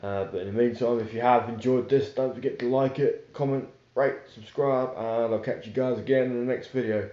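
A man's voice: mid-range voice, fairly even intonation, brisk, hardly any pauses, warm tone, a little hiss or hum. A young man chatting casually to his viewers in a rambling vlog, with many fillers, filmed on a phone at home. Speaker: YouTuber. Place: small room indoors, sitting on a sofa. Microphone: phone camera held close. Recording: roomy, dull lo-fi phone audio.

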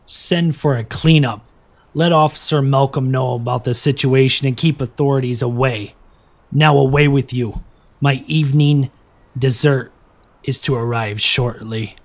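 Guy acting cold crime boss, mid pitch, measured slow orders. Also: steady tone, lightly acted. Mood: coldly commanding. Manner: imperious, measured. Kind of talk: horror comic dub voice acting.

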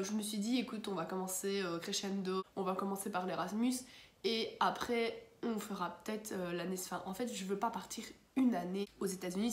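A woman's voice: medium pitch, roomy sound. A young Belgian woman with a cold and blocked nose, chatting casually to camera in her bedroom, rambling with many fillers. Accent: Belgian French. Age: young adult. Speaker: vlogger. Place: bedroom at home, indoors.

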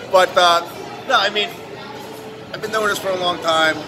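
A man, a restaurant chef talking fast and eagerly in a casual interview in a large busy restaurant. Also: sits high, steady tone, crowd noise. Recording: loud background music.

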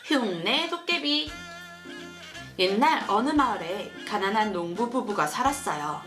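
A woman hosting a children's show, reading a storybook and asking questions in an animated, sing-song, teacherly way. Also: mid-range voice, measured pace, music in the background. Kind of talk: storybook narration and chat with a child.

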